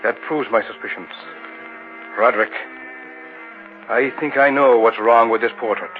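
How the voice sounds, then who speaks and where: An actor playing a confident, urbane gentleman friend in an old radio horror drama, speaking in a grand, jovial way. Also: mid-range voice, normal speed, over music, lightly acted. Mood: intrigued. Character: hearty, urbane male friend, confident occult sceptic turned intrigued. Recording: tinny old radio recording with hiss.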